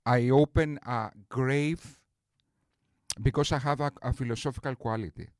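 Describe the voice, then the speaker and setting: Man, boastful rambler, restarts a lot, mid pitch, dry sound. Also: normal speed, no echo. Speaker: streamer host. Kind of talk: casual live-stream talk.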